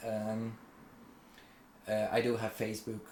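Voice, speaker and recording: A man, a leather craftsman talking hesitantly about his business, with many pauses and fillers. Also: fairly low pitch, monotone, darkish tone, echoey room, a little hiss or hum. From Hungary. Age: 20s.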